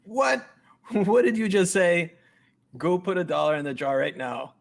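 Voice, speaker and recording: A man's voice: high voice, lively intonation, unhurried, gravelly, exaggerated voice. A man reading the part of a bossy, blunt fitness trainer at a sitcom table read.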